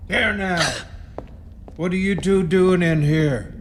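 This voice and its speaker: Man acting a high-pitched, ominous rustic caretaker. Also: takes it slowly, background noise. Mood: grimly foreboding. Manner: folksy rural grammar, foreboding, cryptic. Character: ominous rustic caretaker, grimly warning intruders. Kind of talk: radio horror drama acting. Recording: long reverb with music behind.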